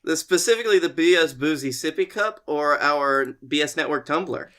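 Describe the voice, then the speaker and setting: A man's voice: a little high, animated, normal speed, fairly bright tone. A man co-hosting a comedy podcast, chatting casually in a wry, rambling, sometimes profane way. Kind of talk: casual chat and rambling anecdotes.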